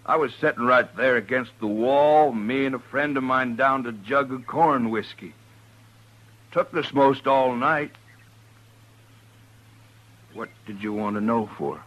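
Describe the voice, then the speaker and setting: A man's voice: medium pitch, measured pace, pauses often, muffled recording, close to an everyday voice. An actor playing a surly, defensive hard-drinking frontier man with a rural American drawl in a western radio drama.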